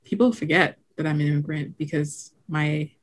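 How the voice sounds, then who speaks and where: A woman's voice: low voice, steady tone, moderate pace. Reflective young woman, heavy "like" fillers. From Haiti. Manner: reflective, lots of "like" fillers, laughs often. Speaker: podcast guest. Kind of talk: casual conversation.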